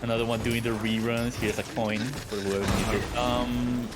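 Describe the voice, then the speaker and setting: A man's voice: mid-range voice, little pitch movement, moderate pace. A man playing a co-op game with friends, casually guiding his teammates to items as he talks. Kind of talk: casual gameplay chat. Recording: game music loud behind voice.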